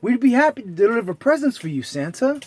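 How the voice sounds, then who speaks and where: A man's voice: very high voice, big pitch swings, quick pace, darkish tone, voice pushed up, full character voice. A man voicing an eager, innocent cartoon mouse in a children's storybook, wide-eyed and polite.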